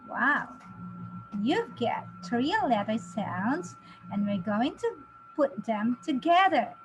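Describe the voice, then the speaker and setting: Woman teacher doing phonics for little kids, really slow n encouraging, highish voice, noisy recording. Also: sing-song. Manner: encouraging, drawn-out enunciation, exaggerated for young children. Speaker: reading teacher. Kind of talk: children's phonics lesson to camera. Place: small indoor home teaching space. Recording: clearly noisy home webcam recording.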